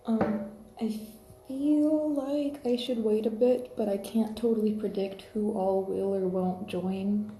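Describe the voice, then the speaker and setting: A woman's voice: medium pitch, steady tone, takes it slowly, dark tone, soft background music. A woman hosting a casual livestream, chatting to listeners in a friendly, rambling way, half to herself. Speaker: livestream host, podfic reader. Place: indoor room at home, rain outside. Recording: roomy echo, faint background noise.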